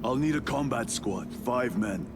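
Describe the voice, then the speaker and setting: Male actor as stern veteran space lieutenant, terse, authoritative, expressive intonation, medium pitch, video game drama. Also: measured pace, very noisy, natural voice. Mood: stern resolve.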